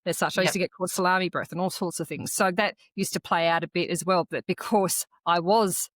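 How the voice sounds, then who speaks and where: A woman, raised speaking Croatian first, talks through her experiences on a podcast in a reflective, earnest tone. Her voice sits a bit low, and she often stops and restarts her sentences. The recording is dry and close, with no room sound. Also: steady tone, no room sound. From Australia. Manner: earnest, reflective personal storytelling with halting restarts. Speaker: podcast co-host. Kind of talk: casual conversational podcast chat.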